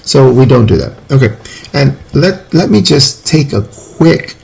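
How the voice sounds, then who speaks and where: A man's voice: mid-range voice, animated, a little slow, gravelly, a little mellow, a little hiss or hum, rough recording. A man in his forties, a teacher, giving an informal, rambling phonics lesson and often correcting himself. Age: 40s.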